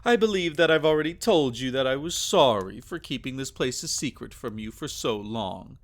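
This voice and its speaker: A man plays a devoted male vampire for an intimate ASMR roleplay. He is gentle and tender, and he addresses his beloved in formal, old-fashioned phrases. His voice sits at an ordinary male pitch. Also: natural voice.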